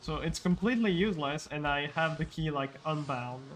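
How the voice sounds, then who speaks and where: A man giving relaxed live commentary on his own speedrun, explaining tricks in a casual, rambling way. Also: fairly high pitch, fairly quick, rough recording. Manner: casual, explanatory, frequent fillers and restarts.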